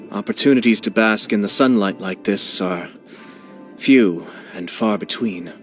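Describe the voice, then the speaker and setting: A poised, quietly scheming young nobleman from a video game, in a somewhat low, smooth register. He speaks in a measured, courteous way that hints at private amusement. Soft game music plays faintly behind him. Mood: composed. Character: young male clan head, genteel, scheming, composed. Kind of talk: video game character voice lines.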